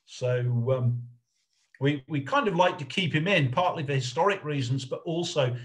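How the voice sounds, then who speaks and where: A middle-aged man presenting an informal slideshow lecture over a video call, haltingly, thinking aloud as he goes. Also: fairly high pitch. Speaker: lecturer on puppet history.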